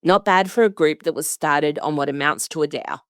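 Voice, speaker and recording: A woman's voice: low-pitched, normal speed, dry recording, studio quality. A woman reading scripted narration as a radio story reporter.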